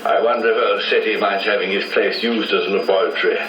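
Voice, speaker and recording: An actor playing a genial, polite upper-class English gentleman and peacemaker in a radio drama. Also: on the low side, steady tone, quick pace, recorded from a distance, natural voice. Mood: placating. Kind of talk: 1990s radio drama acting. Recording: roomy radio copy, slightly noisy, off-mic.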